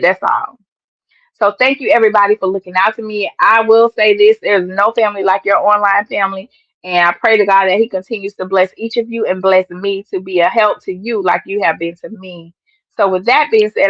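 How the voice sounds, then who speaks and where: A woman's voice: mid-range voice, fairly bright tone, dry recording. A woman hosting her own live stream, chatting emphatically and addressing her viewers directly. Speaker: livestream host and sports fan. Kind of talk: casual live-stream chat. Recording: dull lo-fi stream audio.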